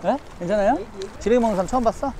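A young man hosting a hidden-camera prank on a seaside fishing pier, teasing and deadpan. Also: sits high, rapid-fire. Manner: playful, teasing, deadpan about eating worms. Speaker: prankster host.

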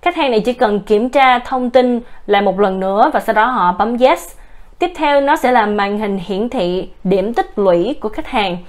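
Woman narrating a step-by-step software demo. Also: fairly high pitch, normal speed. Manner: formal, addresses viewers politely as quý vị; explains step by step, with occasional restarts. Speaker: software demonstrator. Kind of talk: screen-recorded software tutorial. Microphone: voice-over narration over a screen recording.

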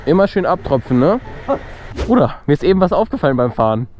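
A young man vlogging casually while riding a motorcycle, excited and slangy, talking straight to his viewers. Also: a little high, quick pace, lo-fi sound. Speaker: motovlogger. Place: outdoors on a motorcycle, city streets and open road. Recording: wind and engine noise.

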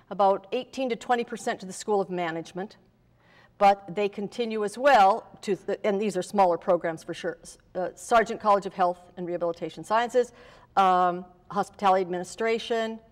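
A woman in her sixties, a college dean, moderating a panel from a lectern in a large auditorium, measured and with frequent fillers. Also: mid-range voice. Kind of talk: moderating an open house panel. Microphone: lectern microphone. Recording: long reverb of a hall.

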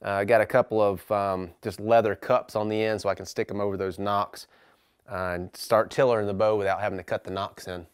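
A man's voice: on the low side, fairly quick, clean tone, no echo, clean studio sound. A man in his thirties giving a casual, practical tour of his bow-building workshop, hesitating with frequent "uh"s and letting his voice fall off at the ends of thoughts. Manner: relaxed and practical, many "uh"s, trails off quietly at ends of thoughts. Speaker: bowyer giving a shop tour. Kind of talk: casual walkthrough explaining his tools. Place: large workshop with plywood walls, indoors.

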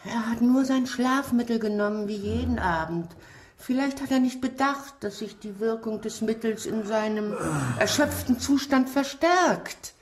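A woman's voice: a little high, unhurried, lightly acted. An actress playing a brusque, haughty lady of the house in a radio play. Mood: dismissive. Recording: roomy sound with faint noise.

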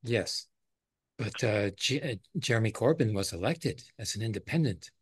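A man's voice: lowish voice, animated, normal speed, husky. Older man in casual political discussion. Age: 60s or older. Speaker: discussion host. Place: indoor video call. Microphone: video call microphone.

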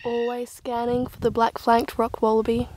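High-voiced Australian woman, chatty wildlife vlogger. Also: completely flat delivery, normal speed, darkish tone, slight background noise. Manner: gushing, chatty, uses informal slang. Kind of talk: casual, enthusiastic nature commentary to camera.

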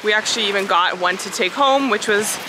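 A woman's voice: medium pitch, brisk. Young woman, chatty vlogger, handheld camera, noisy outdoor wind and surf. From Canada. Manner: bubbly, chatty, lots of "really nice". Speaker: travel vlogger. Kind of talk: casual vlog talk to camera. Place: outdoors by a foggy lakeshore. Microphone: handheld camera at arm's length.

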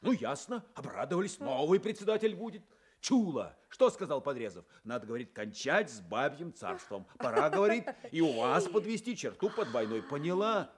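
An actor playing a gruff, embittered young peasant in a radio drama, blunt and scolding. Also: male voice, fairly high pitch, very animated, moderate pace. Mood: irritated, scornful. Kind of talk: Soviet radio drama acting.

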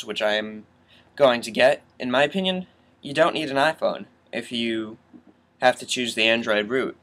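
A young man hosts a casual tech tutorial from his computer. His voice sits at an average male pitch, and he talks informally, as if showing a viewer around his screen. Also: a bit bright. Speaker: tech vlogger.

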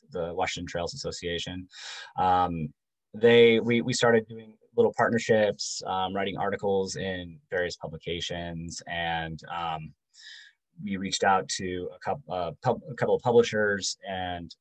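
Deep-voiced man with halting delivery. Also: poor audio. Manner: halting, many ums and restarts. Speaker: guidebook author giving a book talk. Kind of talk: casual presentation. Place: home room. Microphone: video call.

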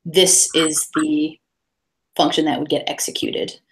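A woman's voice: low-pitched, level tone, unhurried, low-quality recording. A woman presenting a casual coding talk at a meetup over a video call, talking loosely with many fillers and restarts. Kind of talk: casual tech talk with slides.

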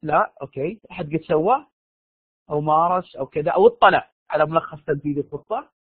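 Male Saudi online lecturer, high-pitched and quick, tired strained voice, informal, repetitive, prodding. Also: breathy edge. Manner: informal, repeats phrases, prods listeners for answers. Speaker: university lecturer. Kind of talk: live online lecture, questioning students. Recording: dull lo-fi online audio, roomy echo.

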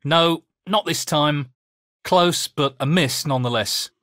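A man's voice: fairly high pitch, slow pace, a bit bright, no room sound, studio quality. A man voicing a keen, observant snooker commentator in a sports video game. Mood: measured, analytical.

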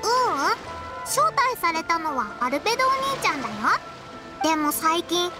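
Naive, bouncy small girl for anime game: sweet childish tone, lively pitch, music behind. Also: normal speed. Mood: bubbly. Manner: bubbly, naive. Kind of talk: Japanese anime game voice acting.

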